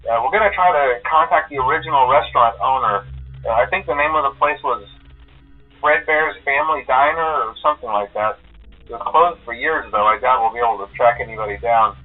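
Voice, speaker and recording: An actor playing a nervous, evasive restaurant employee in a video game, hesitant and stammering through a telephone filter. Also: male voice, medium pitch, brisk, soft background music. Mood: uneasy, downplaying. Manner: hesitant, stammering, full of uhs. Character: nervous, evasive male restaurant employee leaving phone messages. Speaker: phone caller in a horror video game. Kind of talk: video game voice acting as a recorded phone message. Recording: tinny, band-limited phone line.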